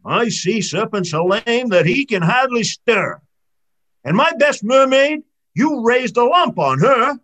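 A man's voice: a little high, sing-song, extremely slow, few pauses, sharp tone, slightly rough, heavily acted. A man voicing a furious, grumbling old sea spirit with whiskers.

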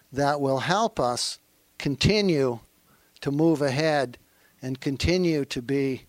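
Slow, earnest man with a Maine accent. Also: medium pitch, dry recording. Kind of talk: panel remarks.

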